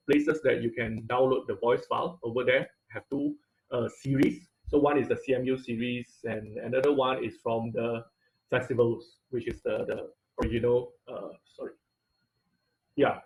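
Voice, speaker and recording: Guy teaching robot coding over a video call, lots of uh and okay, takes it slow step by step. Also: mid-range voice, low-quality recording. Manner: explains step by step, many fillers and "okay?" checks. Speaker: robotics instructor. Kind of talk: online class lecture with screen share.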